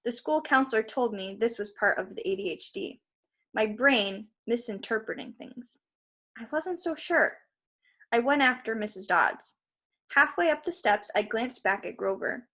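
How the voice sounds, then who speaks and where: A woman's voice: on the high side, animated, normal speed, a bit bright. A woman reading a story aloud expressively, with informal asides to her listeners. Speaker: storybook reader. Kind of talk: read-aloud narration with casual asides to listeners.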